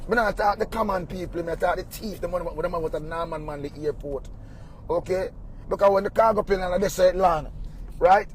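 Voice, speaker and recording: Furious high-voiced Jamaican man. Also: brisk, a little hiss or hum. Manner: heated, swearing, repeats questions to the viewer. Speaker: vlogger ranting about stolen cargo. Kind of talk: angry rant.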